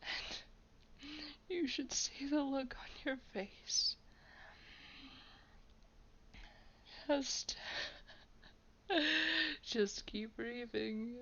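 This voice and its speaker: A woman voicing a haughty, menacing old vampire in a roleplay audio drama, breathy and gravelly, drawing out words with soft condescension. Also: fairly high pitch, full character voice. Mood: sadistic, amused. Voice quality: breathy whisper with gravelly creak. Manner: slow, drawn-out, condescending, softly threatening. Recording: dull, lo-fi home microphone.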